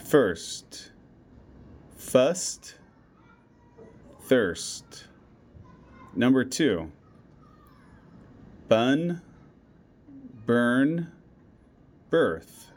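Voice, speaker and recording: A man reading out a school listening test, saying each word and line slowly and deliberately, with every item given twice. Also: mid-range voice, sing-song. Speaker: test reader.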